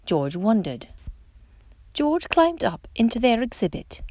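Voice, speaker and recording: A woman reading a children's picture book aloud as a storytime narrator, with expressive emphasis on questions and exclamations. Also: fairly high pitch, unhurried, no echo. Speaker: storytime reader.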